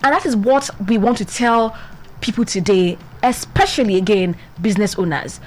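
A woman's voice: medium pitch, moderate pace. A young woman with a Nigerian accent, co-hosting and telling a story in a chatty way full of restarts. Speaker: podcast co-host. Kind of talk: casual advice talk.

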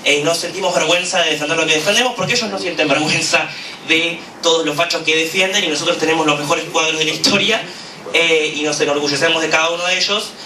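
A man with an Argentine accent giving an impassioned political speech at a gathering, full of "eh" pauses. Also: on the high side. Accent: Argentine Spanish. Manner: fervent, with frequent "eh" fillers. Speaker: activist speaker at a political gathering. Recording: echoey room, from a distance, noisy.

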